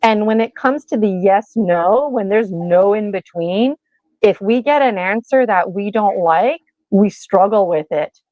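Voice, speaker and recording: A woman's voice: mid-range voice, a little slow, a little mellow, no echo, lo-fi sound. A woman in her forties giving a casual oracle card reading, chatty and rambling, laughing often and acting out little voices. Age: 40s.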